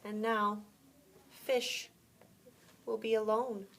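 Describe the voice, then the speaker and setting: A woman preschool teacher reading a story aloud to young children, pausing to explain words simply and ask questions. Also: medium pitch, flat delivery, noisy background.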